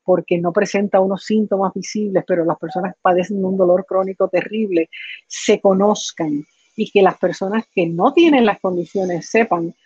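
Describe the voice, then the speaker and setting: An older woman with a Puerto Rican accent answers interview questions in an explanatory, halting way. Her voice sits at a medium pitch. The video-call sound is dull and dry, with little room echo. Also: darkish tone, husky. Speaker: interview guest, patient foundation director. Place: living room at home, indoors. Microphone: computer video call.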